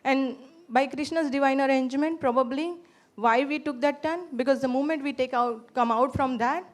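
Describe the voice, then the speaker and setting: A woman giving a heartfelt personal testimony as a pilgrim, telling her story in animated bursts. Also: high-pitched, moderate pace. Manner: animated storytelling, quotes herself in lively bursts. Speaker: pilgrim sharing reflections.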